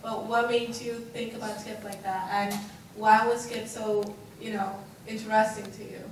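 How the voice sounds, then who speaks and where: A woman's voice: medium pitch, little pitch movement, normal speed. A young woman, a student, politely putting a question to a guest at a live event. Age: young adult. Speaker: student audience member asking a question. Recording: echoey room, distant mic, faint noise.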